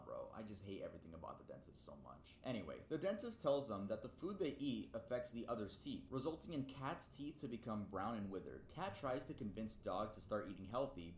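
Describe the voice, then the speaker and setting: A man with a fairly low voice narrates a video essay in a semi-scripted, conversational way. The recording is clearly roomy, with a faint rumble of noise behind him. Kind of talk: semi-scripted countdown narration with casual asides.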